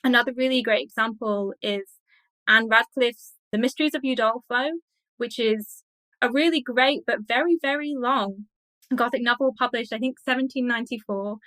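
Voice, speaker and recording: A woman's voice: a little high, normal speed, bright, forceful tone, no room sound, rough recording. A woman speaking as an expert interview guest, explaining in a conversational, scholarly and enthusiastic way.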